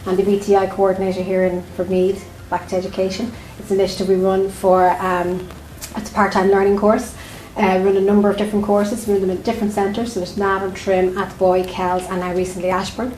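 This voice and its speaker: A woman's voice: mid-range voice, level tone, fairly quick, a little mellow. A woman, an education coordinator, listing courses matter-of-factly in an interview. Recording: echoey room, faint noise.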